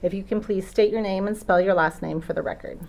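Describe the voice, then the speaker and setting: A woman attorney questioning a witness in a courtroom, patient and measured, with occasional ums. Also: mid-range voice, fairly even intonation, vocal fry, darkish tone. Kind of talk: courtroom direct examination.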